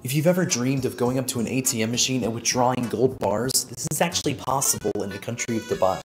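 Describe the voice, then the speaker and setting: Fun facts voice-over, light wry tone, steady pitch, clear music behind. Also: fast. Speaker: fun facts segment presenter. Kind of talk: scripted voice-over.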